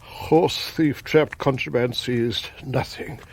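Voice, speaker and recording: An actor playing a furtive, grumpy English husband in a radio comedy, with a gravelly voice and a clipped, blustering manner. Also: male voice, mid-range voice, steady tone, slow pace, darkish tone, slight background noise. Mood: anxious relief. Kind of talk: radio comedy drama acting.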